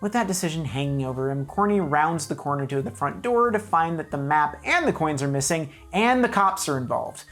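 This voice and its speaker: A woman's voice: deep voice, animated, brisk, few pauses, a bit bright, soft background music. A woman narrating a scripted comedic video review in a wry, mock-serious, emphatic way.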